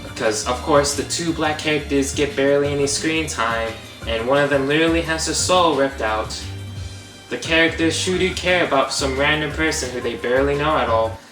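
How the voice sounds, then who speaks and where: A young man hosts a comedic movie review in a sarcastic, exasperated voice of middling pitch. He sounds distant and echoey, with clear guitar music under him. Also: normal speed. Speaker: host.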